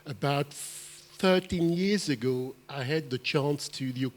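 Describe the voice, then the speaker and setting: A man who grew up in France and Belgium, a musician on a panel, telling his story in an earnest, animated way with halting restarts. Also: mid-range voice.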